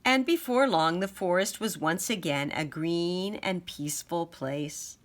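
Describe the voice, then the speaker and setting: A woman teaching young children, reading aloud and sounding out letters and words deliberately. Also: on the low side. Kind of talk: storybook read-aloud and phonics lesson.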